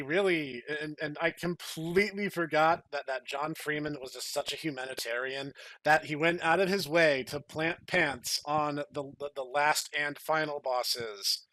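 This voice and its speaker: A man's voice: fairly high pitch, pushed and bright, no echo. A man co-hosting a comedy podcast, moving between dramatic story reading and casual banter. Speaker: podcast co-host.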